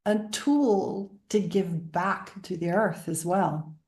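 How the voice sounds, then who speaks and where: A woman's voice: fairly low pitch, slow pace, darkish tone, reverberant. A woman from the Bahamas speaking as a guest in a spiritual interview, explaining her practice.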